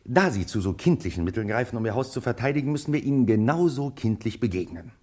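An actor playing a shrewd, self-assured police chief in a radio drama, speaking deliberately and persuasively in an official manner. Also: male voice, mid-range voice, animated, warm tone, close to an everyday voice. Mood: wry, persuasive. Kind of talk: German radio drama acting.

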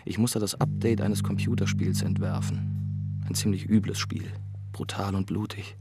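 Loud music surrounds a low-voiced, haunted man in a radio drama. He broods over the suspicion on him, halting and often hushed, as he narrates his own bewilderment. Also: little pitch movement, gravelly. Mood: brooding, uneasy. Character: troubled, bewildered male game designer suspected of murder. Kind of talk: radio drama first-person narration and scenes.

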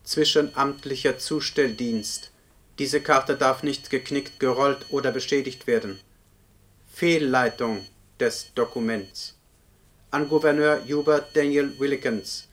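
Monotone bureaucratic computer voice by a man. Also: mid-range voice, unhurried, slight background noise, lightly acted. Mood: impersonal, stern. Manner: monotone, officious, clipped. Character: emotionless bureaucratic computer reading out an official notice. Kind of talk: German radio play acting, official announcement.

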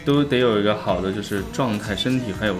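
A man's voice: lowish voice, quick pace, music in the background. A man chatting as a guest in a casual interview, agreeable and enthusiastic, often repeating himself. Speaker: guest, voice actor in an audio drama. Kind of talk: casual live interview chat.

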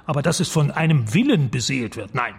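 Stern doubting boss guy, really husky breathy voice, radio crime play. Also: mid-range voice, animated, a little fast, full character voice. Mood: sceptical, stern. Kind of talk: German radio crime drama acting.

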